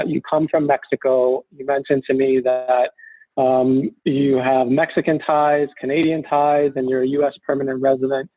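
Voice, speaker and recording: A man's voice: fairly high pitch, no room sound, phone-quality sound. A man hosting a casual podcast, joking and rambling through a loose, self-deprecating introduction. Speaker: podcast host.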